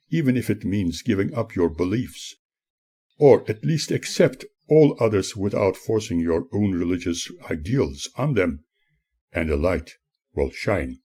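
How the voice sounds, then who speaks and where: A man narrating his own spiritual audiobook as its author, in an earnest, preachy manner with measured phrasing and a husky voice. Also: low-pitched, dark tone. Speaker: author reading own book.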